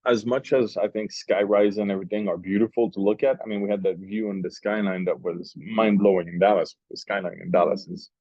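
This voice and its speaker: A man from Switzerland talks casually over lunch in a fairly low voice, circling back and restarting as he tells a story. The sound is dull and muffled, with no room echo. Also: fairly even intonation, fairly quick, hardly any pauses, a little mellow. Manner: rambling, with restarts and long asides. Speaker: interview guest, cigar maker.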